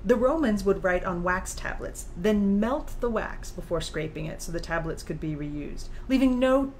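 A woman's voice: mid-range voice, lively intonation, moderate pace, a little mellow, a little hiss or hum, natural voice. A woman playing a composed, insistent female author who mentors in audiobook dialogue with a precise, didactic, challenging manner. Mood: explaining.

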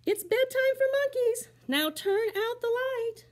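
A woman's voice: extremely high pitch, animated, unhurried, pitched above the performer's own voice. A woman voicing a tired mother monkey who scolds her children firmly. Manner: stern, exasperated.

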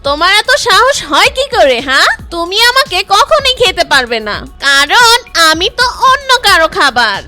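A woman voicing a small, clever, caring mother bird in a cartoon story, earnest and expressive. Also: very high voice, moderate pace, few pauses, faint music behind, heavily acted. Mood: defiant.

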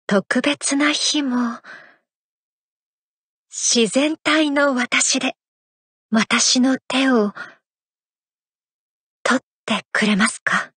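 A woman voicing a graceful, playful young female idol in a mobile game, speaking soft, intimate and affectionate lines. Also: sits high, slightly rough, no room sound, exaggerated voice. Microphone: studio mic.

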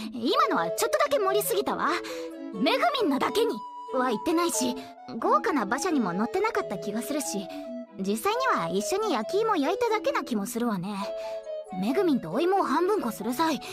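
A woman playing a vain, whiny young goddess in an anime audio drama, haughty, dramatic and quick to plead. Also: high-pitched, huge pitch swings, over music. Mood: defensive, reminiscing.

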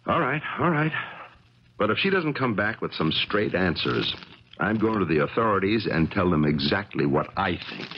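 An actor playing a suspicious, forceful man in a 1970s radio drama, speaking insistently and emphatically. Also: low-pitched, sing-song, normal speed. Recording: dull, crackly 1970s radio recording.

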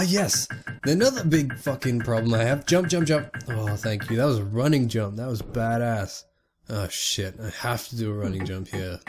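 Young man, gaming commentary, sick, excitable, swears a lot, faint background noise. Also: mid-range voice. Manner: excitable, swears often, talks to the game. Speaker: gaming YouTuber. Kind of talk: casual let's play commentary.

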